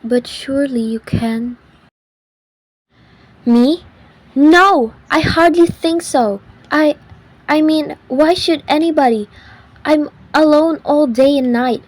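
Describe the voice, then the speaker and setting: A fretful, self-pitying housewife played by a woman in a radio thriller. Her voice sits high and she speaks slowly, with an insistent, haughty edge, as someone used to being waited on. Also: poor audio. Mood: rattled, pleading. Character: anxious, demanding, self-pitying invalid housewife phoning the police. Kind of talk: old-time radio thriller acting.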